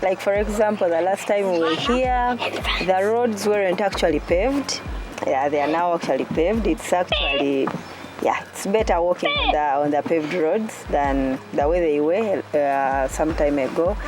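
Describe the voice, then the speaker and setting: A woman talks informally outdoors as a visitor being interviewed. Her voice sits fairly low, with clear ambient noise around it. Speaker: zoo visitor.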